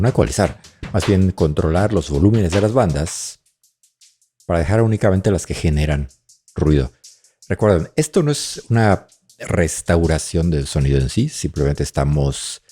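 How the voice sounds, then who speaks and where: A man giving an informal, explanatory software tutorial on audio mixing, thinking aloud as he demonstrates. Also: slightly rough. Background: drum and bass tracks playing during demonstration.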